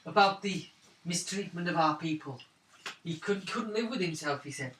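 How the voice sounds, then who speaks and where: Guy doing hurt young clan woman in tabletop rpg, tired halting pleading, bright voice, echoey hissy room. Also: on the high side, natural voice. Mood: grave, shaken. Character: wounded, shaken young woman of a wandering clan. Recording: roomy, hissy tabletop recording, distant mic.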